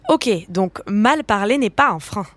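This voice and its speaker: A woman's voice: mid-range voice, animated, a little slow, a bit bright. A woman hosting a travel show, narrating and asking questions in a chatty, playful way.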